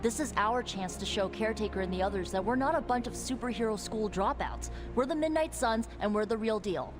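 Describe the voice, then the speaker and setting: A woman's voice: medium pitch, animated, a little fast, over music, natural voice. A woman playing a brash, impatient young superhero rallying a crew in a video game cutscene.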